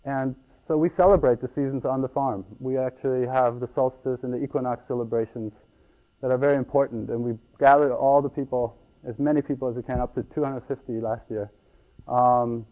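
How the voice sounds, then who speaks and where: A man from Ecuador giving a conference talk as a farmer, halting with many ums and restarts. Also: mid-range voice, steady tone, lo-fi sound.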